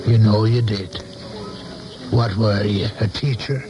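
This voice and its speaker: An actor in a 1970s radio play, playing an older saloon keeper who is folksy, shrewd and philosophical with a loyal friend. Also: male voice, fairly low pitch, fairly even intonation, takes it slowly. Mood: folksy, probing. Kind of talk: 1970s radio drama acting. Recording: dull vintage radio broadcast, music behind.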